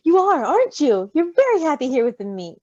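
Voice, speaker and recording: Storybook part: protective, proud male creature parent, played by a woman, high and animated, fussing and cooing. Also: a little fast, no room sound, dull audio. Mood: doting.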